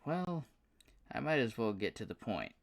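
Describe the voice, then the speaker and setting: A man's voice: mid-range voice, very animated, moderate pace, vocal fry, voice pushed up. A man voicing a confident, secretive male scientist who speaks matter-of-factly and persuasively.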